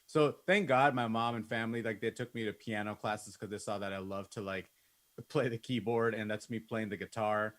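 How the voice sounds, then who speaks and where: A man's voice: on the low side, fairly even intonation, moderate pace, a bit bright. Casual, conversational man giving a masterclass. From Bangladesh. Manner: informal, conversational, peppered with like and you know. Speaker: musician and music producer giving a masterclass. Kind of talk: casual autobiographical talk to an online class. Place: indoors on a video call.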